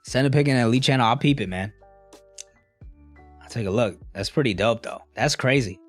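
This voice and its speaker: A man's voice: mid-range voice, fairly quick, soft background music. A young man casually chatting with a live stream audience in a laid-back, slangy, rambling way. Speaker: streamer answering live chat.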